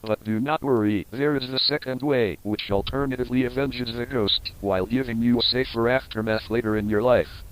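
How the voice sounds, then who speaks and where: A man's voice: medium pitch, fairly quick, few pauses, smooth, low-quality recording. A man narrating a scripted instructional horror tape in a formal, explanatory manner.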